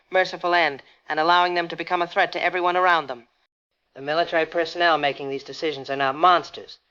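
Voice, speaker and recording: A male actor plays a resolute nurse giving testimony in a 1940s radio drama. The delivery is earnest, articulate and grim, in a fairly high, forceful voice. The recording is a little dull and lo-fi.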